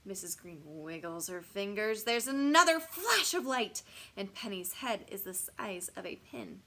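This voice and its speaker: A woman reading a children's bedtime story expressively, with dramatic, deliberate phrasing and pauses for suspense. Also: mid-range voice, sing-song, pushed and bright. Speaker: storybook reader.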